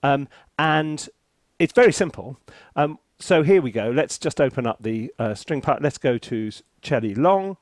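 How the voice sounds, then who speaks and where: Animated British man presenting, close dry sound. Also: medium pitch, normal speed, no room sound. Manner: jokey, self-interrupting, theatrical asides. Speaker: composer reviewing music software, presenter. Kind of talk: casual, chatty tutorial to camera.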